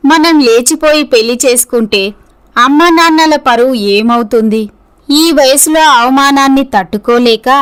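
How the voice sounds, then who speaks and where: A woman acts out an earnest young office woman in a romantic moral story. Her voice is high, and she delivers her lines in a deliberate, dramatic way. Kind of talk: scripted moral story dialogue.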